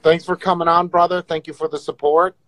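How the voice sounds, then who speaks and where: A man's voice: on the high side, little pitch movement, a little fast, a bit bright. A man hosting a casual livestream, teasing and joking crudely with viewers on video calls. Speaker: livestream host.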